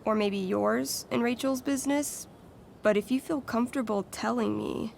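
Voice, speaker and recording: A woman's voice: fairly high pitch, moderate pace, creaky, darkish tone, slight background noise. A woman playing a friendly, candid, considerate teenage girl in a video game, chatty and earnest. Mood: careful, earnest. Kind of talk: naturalistic video game acting.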